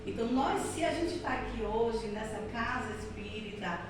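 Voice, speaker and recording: A woman's voice: a little high, expressive, normal speed. A middle-aged woman from Salvador with a Bahian accent, giving an earnest spiritist lecture that leans on repetition and tag questions. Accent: Bahian Brazilian Portuguese. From Salvador, Bahia, Brazil. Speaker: spiritist speaker. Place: spiritist center hall. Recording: echoey hall from far off, noisy.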